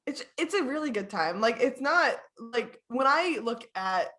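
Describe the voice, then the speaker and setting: A young woman in casual podcast chat, laughing often and talking in quick bursts. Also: on the high side, expressive, sharp tone. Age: young adult. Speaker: podcast co-host.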